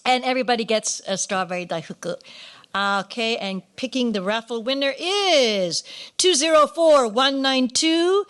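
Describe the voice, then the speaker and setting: A woman hosting a live awards ceremony in a playful, animated, partly ad-libbed way. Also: a little high, moderate pace. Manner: animated, playful, exclamatory asides; drops Japanese phrases into English. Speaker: ceremony emcee.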